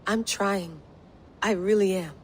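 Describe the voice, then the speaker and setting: An actress playing a troubled, earnest agent, hesitant but determined, in naturalistic video game dialogue. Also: female voice, mid-range voice, slow pace, a little hiss or hum, lightly acted. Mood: strained, defensive.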